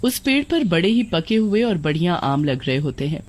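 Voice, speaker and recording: A woman's voice: on the low side, very animated, normal speed, a little mellow. A woman storyteller reading a scripted tale as narrator.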